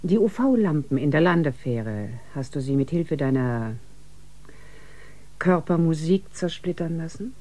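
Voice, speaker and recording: An actress playing a calm, humane, matter-of-fact ship's doctor in a sci-fi radio drama. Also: female voice, low voice, unhurried. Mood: guarded.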